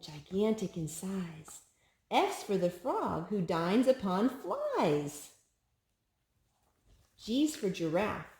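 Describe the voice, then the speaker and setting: A woman hosting a children's storytime, reading picture books aloud in an expressive, sing-song way to a small child. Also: mid-range voice, reverberant.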